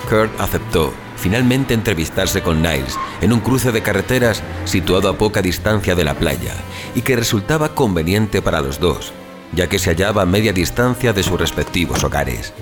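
A male narrator tells a scripted dramatic story in a deep voice. His intonation is animated and varied. Music plays clearly underneath. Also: normal speed.

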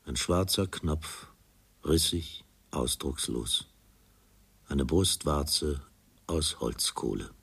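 An actor as the narrator of a literary radio drama, grave and deliberate in delivery. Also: male voice, a bass voice, animated, vocal fry, a bit bright, breathy edge, full character voice. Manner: solemn, deliberate.

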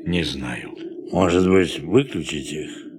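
A man's voice: very deep voice, takes it slowly. An actor playing a subdued, deferential spaceship crewman in a radio drama. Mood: hesitant. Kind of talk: Soviet radio drama acting. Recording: loud ambient noise over the voice.

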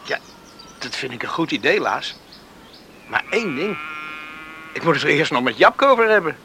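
Young rural fiancé, high bright voice, lively intonation, earnest, caring, radio drama. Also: male voice, normal speed, vocal fry, a little hiss or hum, exaggerated voice. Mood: earnest, firm. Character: eager, caring young countryman planning house and wedding. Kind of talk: Dutch radio drama acting.

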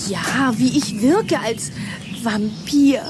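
A woman's voice: fairly high pitch, very slow, reverberant, loud music behind the voice. An actress playing an eager, pushy schoolgirl in a German children's radio play, giggly and insistent. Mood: playful, proud. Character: eager, pushy schoolgirl keen on vampire films.

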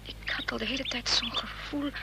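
An actress playing an anxious, devoted companion of a fugitive in a radio drama, speaking earnestly and hesitantly. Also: female voice, a little high, steady tone, a little fast, gravelly, in a whisper. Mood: uneasy.

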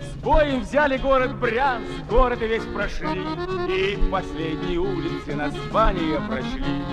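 A man's voice: high-pitched, lively intonation, measured pace, over music. A man playing a cheerful soldier singing a military marching song in a children's audio drama. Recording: 1970s flexi-disc.

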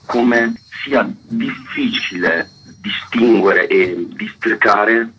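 A man's voice: fairly low pitch, very slow, pushed and bright, soft background music, poor audio. A man being interviewed as a theatre director, explaining his work in an earnest, effusive way.

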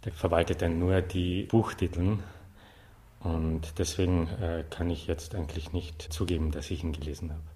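A man speaks in a deep, low register with an Austrian accent. He answers as an interview guest, conversational and playfully deadpan, with a wry turn to his phrasing. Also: normal speed. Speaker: interview guest, artist and self-appointed librarian.

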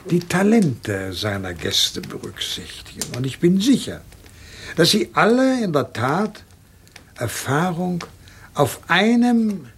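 Older eccentric colonel, radio crime drama: highish swooping voice, genial, sly, deliberate, theatrical. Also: male voice, big pitch swings, fairly bright tone, slight background noise, full character voice. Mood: sly, commanding. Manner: theatrical, deliberate, savouring his words. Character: older eccentric colonel, genial, boastful, scheming country-house host.

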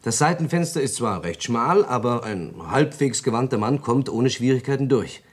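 A man playing a sharp-witted police assistant in a German crime radio drama, matter-of-fact and pointed, with a husky voice. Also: medium pitch, lively intonation, moderate pace.

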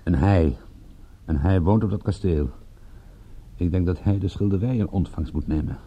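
A man's voice: low-pitched, moderate pace. A man playing a wry private detective in a radio play, with a dry, laconic delivery, at times narrating his own case. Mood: casual. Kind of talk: radio play acting with first-person narration. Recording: dull, lo-fi radio play recording.

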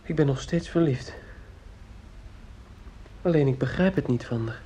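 A lovesick teenage boy in a radio drama, with a soft dark tone and a husky edge. He speaks earnestly and politely, with some hesitation. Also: medium pitch, unhurried. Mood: lovesick, insistent. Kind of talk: Dutch radio play acting.

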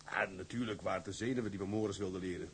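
A man's voice: low voice, quick pace, a crowd in the background, close to an everyday voice. An actor playing an earnest, eager young seaman who has just qualified as a ship's mate, speaking respectfully and a little hesitantly. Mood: relieved, recounting. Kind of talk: radio or screen drama dialogue.

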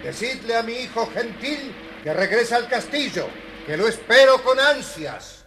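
A man playing a loud, proclaiming royal town crier in a children's audio storybook. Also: extremely high pitch, lively intonation, a little slow, noisy background, heavily acted.

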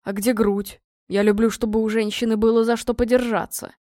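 A woman voicing a scruffy male beggar from a storybook, uncouth and cheeky, with a hoarse, bleating delivery. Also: fairly high pitch, level tone, brisk, mellow, no room sound, studio quality, lightly acted. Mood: cheeky, critical.

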